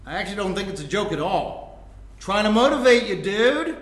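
Actor as a brash, taunting jock brother, high-pitched. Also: male voice, sing-song, normal speed. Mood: mocking. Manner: slangy, mocking, jock-like delivery. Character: brash, taunting, athletic man of thirty-two, needling younger brother. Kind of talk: staged reading of a comedic play. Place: indoor room before a live audience. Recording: roomy live stage recording.

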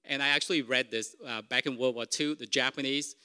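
A man's voice: medium pitch, fairly even intonation, fairly quick, pushed and bright, clear, resonant tone. A middle-aged man whose first language is Vietnamese, preaching an informal sermon in a conversational, self-correcting way and checking in with the congregation. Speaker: pastor.

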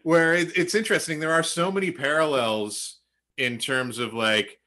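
Male host in his thirties from Canada, bright tone, rambling, self-deprecating. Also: a little high, steady tone, moderate pace. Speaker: podcast host. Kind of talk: casual podcast chat.

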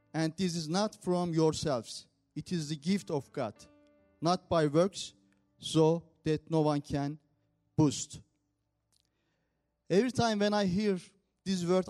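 A man whose first language is Turkish, speaking English with a Turkish accent as he leads communion in church with an earnest, simple, unhurried manner. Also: sits high, darkish tone. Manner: earnest, simple phrasing with pauses. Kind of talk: communion meditation and prayer. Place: church service.

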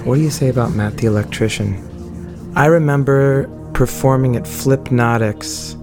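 A man's voice: mid-range voice, steady tone, moderate pace. A man hosting a podcast intro, casual and rambling, correcting himself as he goes, with his voice worn out from talking. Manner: rambling, self-correcting, chatty. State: losing his voice. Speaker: podcast host. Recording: music playing underneath.

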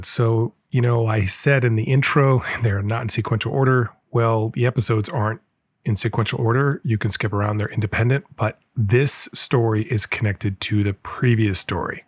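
A man with a lowish voice hosts a travel podcast, talking things through in a thoughtful, winding way and correcting himself as he goes. The recording is dry and close, with no room sound around him. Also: no echo. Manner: thoughtful, meandering, with restarts and self-corrections. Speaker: podcast host. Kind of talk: casual podcast monologue and personal storytelling.

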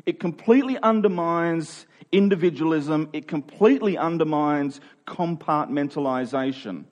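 Man, preacher, fairly high pitch, conversational sermon delivery, earnest, informal, emphatic on key phrases. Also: moderate pace, a little mellow.

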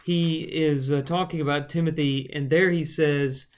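A man's voice: on the high side, fairly even intonation, measured pace, clear, resonant tone. A man preacher co-hosting a casual Bible study podcast, thinking aloud with fillers and restarts.

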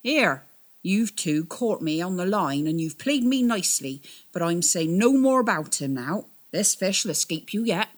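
A woman voicing an old male tug-boat captain who talks in a rustic dialect, loud and scolding. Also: medium pitch, big pitch swings, normal speed, voice pushed up. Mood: firm.

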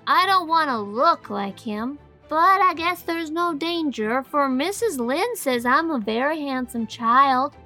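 A woman's voice: high voice, expressive, unhurried, few pauses, pushed and bright, faint music behind, voice pushed up, heavily acted. A woman voicing a curious, chatty little boy who keeps pressing with questions. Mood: eager. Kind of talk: audiobook character voice.

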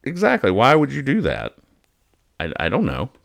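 A man hosting a casual, humorous show, giving halting, mock-earnest commentary as he goes. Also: medium pitch, wildly swooping pitch, gravelly. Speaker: host of a comics-reading show.

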